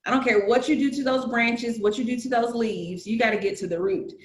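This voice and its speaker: A woman's voice: fairly high pitch, fairly quick. Southern lady talking it through earnest, lots of ums, echoey room. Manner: earnest, explains with analogies, frequent fillers. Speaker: guest. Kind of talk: casual conversation.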